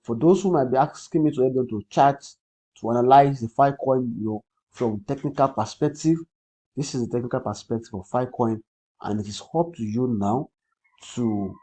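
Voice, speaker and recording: A man with a Nigerian accent, a native Yoruba speaker, hosting a casual crypto livestream in a husky voice, repeating phrases and often checking in with "okay". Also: medium pitch, dark tone, low-quality recording. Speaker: crypto trading livestream host. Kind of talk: casual livestream commentary, answering comments.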